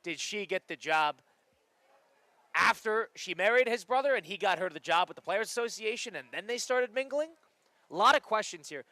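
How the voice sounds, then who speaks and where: A young man in his twenties hosting a casual sports radio show, animated and rambling, quick to laugh. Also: sits high, no room sound. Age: 20s. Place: radio studio.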